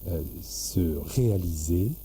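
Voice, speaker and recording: A man giving a formal, prepared explanation in a measured, halting way, in short administrative phrases. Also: low-pitched, mellow, a little hiss or hum. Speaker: local government official.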